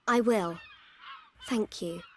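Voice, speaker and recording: A young actress playing a gentle, warm-hearted heroine in a video game. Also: female voice, medium pitch, slow, slight background noise, close to an everyday voice. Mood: hopeful, grateful. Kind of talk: video game character acting.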